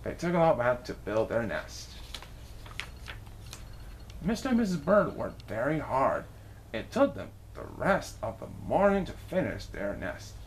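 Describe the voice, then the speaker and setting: A woman reading a children's story aloud in an expressive narrating style, with noise behind her. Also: rumbling low, a little slow. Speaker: storybook reader.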